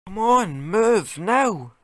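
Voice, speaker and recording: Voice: medium pitch, expressive, very slow, fairly bright tone. An actor in an audio drama playing a blunt, startled schoolboy wizard with an incredulous way of talking. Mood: urgent.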